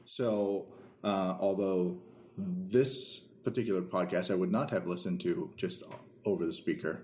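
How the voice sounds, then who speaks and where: A man's voice: low-pitched, steady tone, a little slow, a little mellow. A man co-hosting a podcast in casual chat, with a dry, matter-of-fact way of talking. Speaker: podcast co-host. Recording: echoey room.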